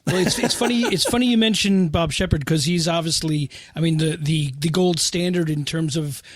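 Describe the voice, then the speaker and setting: A man's voice: fairly high pitch, normal speed, dry recording. A middle-aged man from New York City co-hosting casual sports talk, rambling and repeating his phrases. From New York City, United States. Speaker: podcast co-host.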